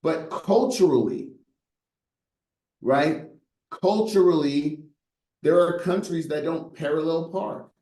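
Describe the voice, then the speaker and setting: A man's voice: fairly high pitch, takes it slowly, long pauses, warm tone, roomy sound. A middle-aged man in a casual interview, telling stories with lots of rhetorical "right?" asides and a playful tone. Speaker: guest, brand designer.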